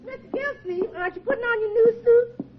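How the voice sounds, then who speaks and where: An actress playing an earnest, outspoken housekeeper who insists emphatically in a 1940s radio comedy. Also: female voice, very high voice, a little fast, heavy background noise. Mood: urging. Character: earnest, outspoken female housekeeper, stubbornly repetitive. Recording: muffled 1940s radio recording.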